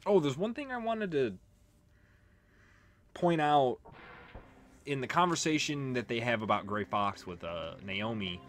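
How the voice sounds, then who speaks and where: A man's voice: mid-range voice, animated, measured pace, long pauses. A man giving casual, swear-prone gameplay commentary as the host of a let's play. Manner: profane, exasperated when struggling. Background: video game music.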